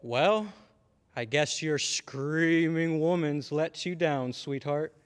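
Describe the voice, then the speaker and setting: A man playing a stern but affectionate father in an old-time radio drama, with a measured, slightly pompous manner. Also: a little high, clear, resonant tone. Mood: indulgent, skeptical. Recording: reverberant hall.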